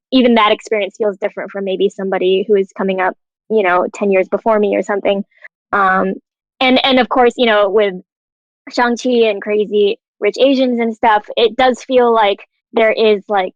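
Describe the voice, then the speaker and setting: A woman's voice: mid-range voice, dry recording. A young American woman chatting casually in a panel discussion, peppering her talk with filler phrases like "you know", on slightly dull audio. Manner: conversational, frequent "like" and "you know". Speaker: panelist, animation writer and actor.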